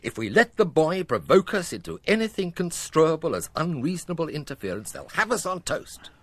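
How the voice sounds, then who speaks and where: An actor playing a cautious senior French police officer in a radio drama, wry and authoritative. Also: male voice, on the high side, sing-song, no room sound. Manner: sardonic, measured authority. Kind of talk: naturalistic radio drama acting.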